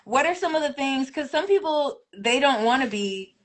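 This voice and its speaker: A woman's voice: sits high, normal speed. A woman hosting a casual live interview, telling stories with lots of laughter, on a video call. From Nigeria. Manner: laughs often, animated storytelling. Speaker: host. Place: United States.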